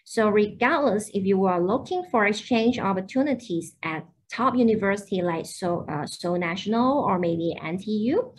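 A woman's voice: medium pitch, expressive, moderate pace, hardly any pauses, slight background noise. Young woman host, webinar on a video call, informative, filler-heavy. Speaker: university admissions manager hosting a webinar. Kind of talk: hosting an online webinar.

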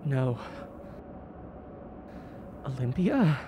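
A woman playing a desperate, obsessive dying writer in an audio drama, halting and fraught as she freezes. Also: very deep voice, big pitch swings, exaggerated voice. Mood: startled, defensive. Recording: clearly noisy background.

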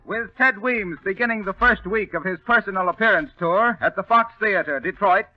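Male American announcer, vintage radio: high-pitched, polished, emphatic sell; muffled old broadcast sound. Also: moderate pace. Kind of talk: 1930s radio announcing, scripted ad copy. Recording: dull 1930s radio recording with music.

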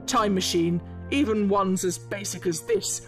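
A woman's voice: mid-range voice, measured pace, faint music behind, natural voice. A woman voicing a scornful, militaristic male alien warrior in an audio story. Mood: sneering.

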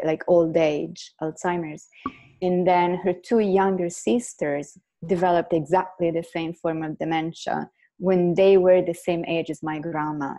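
A woman in her forties from Italy, with an Italian accent, speaking earnestly as a guest on a video call from her living room through earbuds. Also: low-pitched. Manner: earnest, animated hand gestures. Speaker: guest neuroscientist. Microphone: earbud headset on video call.

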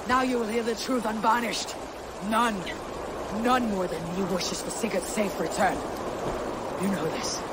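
An actor playing a resolute, solemn Viking clan leader in a video game, grave and emphatic. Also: male voice, very high voice, heavy background noise. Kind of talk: dramatic video game cutscene acting.

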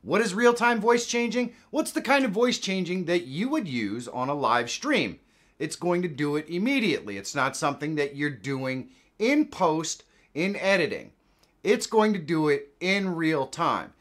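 Tutorial presenter: American male, fifties, lively intonation, casual and emphatic. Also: fairly high pitch, unhurried. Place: small indoor home studio.

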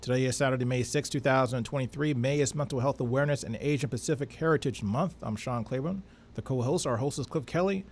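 A middle-aged man introducing a show as co-host, speaking somewhat haltingly into a close studio microphone. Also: medium pitch, darkish tone. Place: small studio, indoors. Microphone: close studio microphone on arm.

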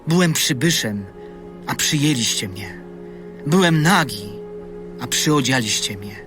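An actor playing a solemn gospel narrator, measured and declamatory, in a dramatised audio Bible. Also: male voice, mid-range voice, expressive, natural voice. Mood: emphatic. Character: solemn gospel narrator foretelling a kingly judgement. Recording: music bed under voice.

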